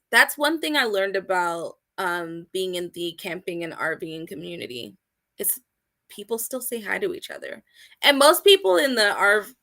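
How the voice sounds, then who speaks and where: Woman guest, upbeat and emphatic, bright clear voice, loud-soft swings. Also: medium pitch. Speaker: guest, outdoor community organizer. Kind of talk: casual interview chat.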